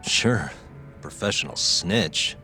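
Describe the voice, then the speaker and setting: Wry game detective, thirties. Low, terse, dry; music underneath. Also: male voice, expressive, slow, sharp tone, heavily acted. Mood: accusing. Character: cool, wry young male detective in leather jacket. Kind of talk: video game cutscene acting.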